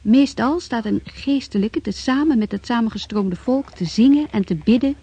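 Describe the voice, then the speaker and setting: A woman playing a grave, detached chronicler, narrating a scripted history in a radio drama with a husky voice. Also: a little high, normal speed, warm tone.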